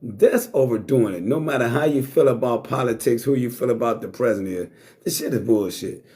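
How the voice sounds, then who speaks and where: A man's voice: mid-range voice, a little fast, dark tone. A middle-aged man hosting a talk show, talking bluntly and casually, swearing freely. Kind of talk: casual monologue to live chat.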